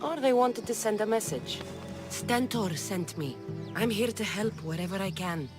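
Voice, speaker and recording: Actress as female mercenary of ancient Greece: confident, sardonic, dry delivery, mid-pitched, music underneath. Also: animated, normal speed, natural voice. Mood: assured. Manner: cool, dry, challenging. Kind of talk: video game voice acting.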